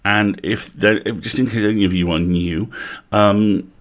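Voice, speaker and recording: A man's voice: on the low side, steady tone, measured pace. A British man hosting a livestream in a casual, chatty way, with wry, playful asides. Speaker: livestream host.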